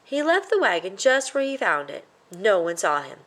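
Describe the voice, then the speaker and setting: A woman's voice: mid-range voice, big pitch swings, moderate pace, fairly bright tone. A woman reading a children's story aloud as its narrator, in a scripted storytelling style. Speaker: storybook reader.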